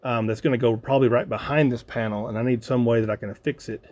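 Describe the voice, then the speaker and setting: An American man hosting a hobby video, casually walking through a project unscripted, thinking aloud in a halting way. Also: medium pitch, fairly even intonation, a little mellow.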